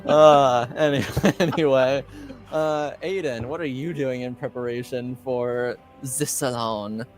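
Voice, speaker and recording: A man acting as game master, narrating a tabletop roleplaying game in a halting, deliberate way. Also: medium pitch, fairly even intonation, faint music behind.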